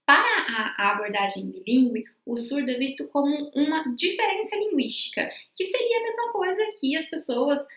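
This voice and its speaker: High-voiced woman lecturing in echoey room. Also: lively intonation, normal speed, few pauses, fairly bright tone. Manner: explanatory, with frequent fillers and restarts. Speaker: university professor. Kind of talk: remote lecture.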